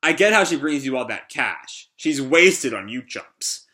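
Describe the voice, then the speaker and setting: A man's voice: mid-range voice, sing-song, unhurried, sharp tone. A man voicing a cocky young thief from a comic, flippant and taunting. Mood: defiant.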